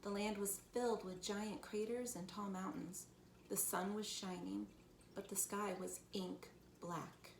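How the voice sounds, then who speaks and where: A woman's voice: medium pitch, fairly even intonation, measured pace, creaky. A woman reading a children's storybook aloud as a teacher would, expressively, for young listeners. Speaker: teacher reading to first graders. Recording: echoey room, background noise.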